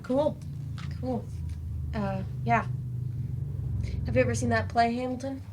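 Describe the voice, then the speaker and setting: A woman's voice: fairly high pitch, fairly even intonation, measured pace, close to an everyday voice. A woman playing an awkward, blunt, slangy adult student in a staged play reading with room noise. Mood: awkward, casual.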